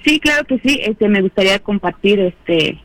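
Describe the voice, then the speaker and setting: A woman whose first language is Triqui, co-hosting a radio show in casual chat, talking softly and unhurriedly with many fillers. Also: mid-range voice, animated, normal speed, dry recording, low-quality recording. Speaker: radio co-host. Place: radio studio.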